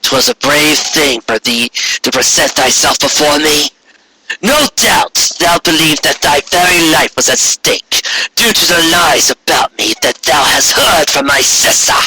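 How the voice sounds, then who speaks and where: A man's voice: a little high, over music, close to an everyday voice, poor audio. A man voicing a regal, menacing female alicorn tyrant in an archaic, grandiose manner for a storybook reading. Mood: haughty. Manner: archaic, grandiose, slow proclamations.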